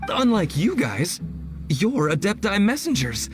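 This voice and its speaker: A poor young treasure hunter from a fantasy game, superstitious and beseeching. His voice is high and dramatic, with loud music playing behind him. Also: sing-song, unhurried. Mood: flustered. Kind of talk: fantasy video game acting.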